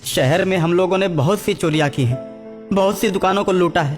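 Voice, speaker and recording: A man's voice: sits high, fairly quick, long reverb tail, pitched above the performer's own voice, natural voice. A man voicing a cowardly thief in a cartoon story, pleading and making excuses. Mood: fearful warning. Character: cowardly, pleading male thief, afraid of a jinn. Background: background music.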